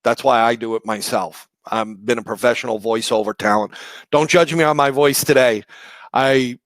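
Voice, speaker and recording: A man with a slightly raspy, hoarse voice presenting a live online marketing session, conversational and explanatory with rhetorical questions. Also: medium pitch, steady tone, normal speed, fairly bright tone, dry recording, low-quality recording. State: hoarse from shouting the day before.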